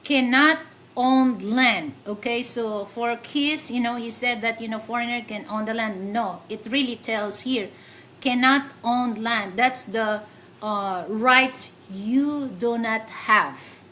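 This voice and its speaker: A woman with a Filipino accent hosts a casual livestream at an unhurried pace. She chats with many fillers and giggles often. Faint background noise runs under her voice. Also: a little high, fairly bright tone. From Philippines. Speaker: livestream host.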